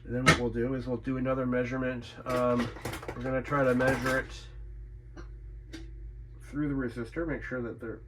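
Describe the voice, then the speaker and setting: A man talks in a casual, conversational way at a middling pitch, thinking aloud as he does hands-on repair work. He often puts questions to himself as he goes. Faint noise and room ambience sit behind his voice. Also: little pitch movement, moderate pace, pauses often. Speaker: radio repairer presenting own video. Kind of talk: casual chat while doing a repair.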